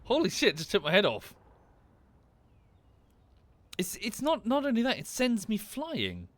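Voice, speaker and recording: A man streaming a space building game, chatting casually with friends and getting loudly excited when things blow up. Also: high-pitched, unhurried, dry recording. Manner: excitable, swears and laughs at explosions. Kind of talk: casual gaming chat with friends.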